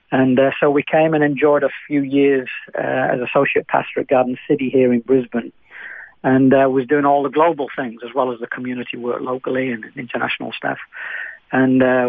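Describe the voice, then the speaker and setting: A man's voice: mid-range voice, creaky, no room sound. Manchester man on a tinny phone line. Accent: Manchester English. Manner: chatty, many fillers and restarts. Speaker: pastor. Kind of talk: radio interview guest.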